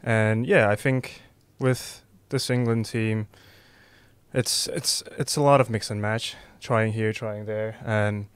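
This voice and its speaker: Guy talking football as a guest, smooth low voice, dry sound, lots of ums. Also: slow, no echo. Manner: relaxed, thinking aloud with frequent ums. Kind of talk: casual football chat.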